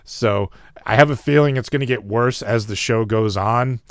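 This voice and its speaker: A man's voice: mid-range voice, moderate pace, no room sound. A man hosting a podcast in casual chat, with a sore throat and a fading voice, trading dry upbeat banter. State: sore throat, losing his voice. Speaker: podcast host.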